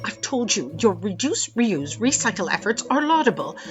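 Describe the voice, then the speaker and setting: A woman's voice: mid-range voice, sing-song, normal speed, highs cut off, music in the background, natural voice. A woman playing a bossy, pompous, dismissive office boss in a comedic audio drama. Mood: exasperated. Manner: imperious, dismissive. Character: bossy, pompous female boss of Destiny's bureau.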